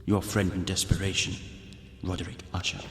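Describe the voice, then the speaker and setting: An actor playing a gloomy, ailing gentleman reading a desperate letter in a formal, grave and deliberate way for a gothic radio drama. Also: sits low, flat delivery, over loud music. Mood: anguished pleading. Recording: echoey long reverb, background noise.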